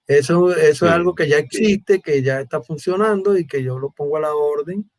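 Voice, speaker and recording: A Venezuelan man hosting a conversational online interview, speaking Spanish in a deliberate, halting way with repeated words. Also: a little high, no echo. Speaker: host, mathematics educator. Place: remote online conversation from Brazil.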